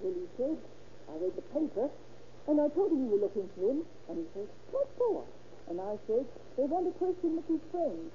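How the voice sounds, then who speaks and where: A woman's voice: sits high, sing-song, brisk. An actress playing a defensive, anxious landlady in an old radio crime drama, plain-spoken and insistent. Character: defensive, anxious landlady, mother of a little girl. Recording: muffled, noisy old radio recording.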